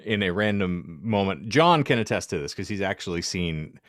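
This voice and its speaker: A man's voice: low voice, expressive, normal speed, no echo, clean studio sound. A man co-hosting a podcast, telling stories casually in a wordy, wry, self-deprecating way with ornate vocabulary. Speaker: podcast co-host.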